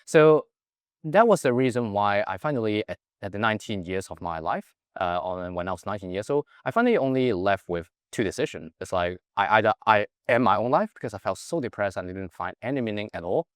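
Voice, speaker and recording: Low-voiced man, earnest. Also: expressive, a little fast, smooth, no echo, clean studio sound. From Hong Kong. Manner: earnest, keeps adding "right?" tags to check in with the listener. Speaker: guest, life coach. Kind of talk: reflective personal storytelling.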